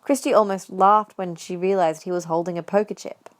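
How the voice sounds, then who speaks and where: A woman narrator tells a fan fiction story as an audio drama. Her voice sits at a medium pitch and she reads from a script in the third person. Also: steady tone, fairly quick.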